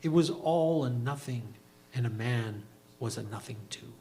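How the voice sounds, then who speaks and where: A man's voice: medium pitch, unhurried, dark tone. A man giving scripted literary narration in a reader's theatre performance.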